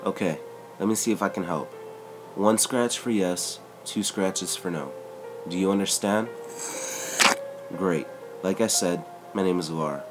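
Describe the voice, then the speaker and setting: A female police dispatcher keeps her questions calm and coaxing, voiced by a man reading a story. The voice stays low and deep, barely shifted from the reader's own. Music runs clearly underneath. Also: unhurried, long pauses, poor audio. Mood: calm urgent. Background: background music.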